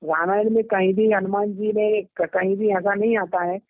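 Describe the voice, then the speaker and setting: High-pitched man on a phone call. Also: fairly even intonation, moderate pace, dry recording. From Madhya Pradesh, India. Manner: rambling, often seeking agreement with tag questions. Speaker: ashram devotee. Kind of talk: casual phone conversation. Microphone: telephone. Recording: phone call recording, band-limited.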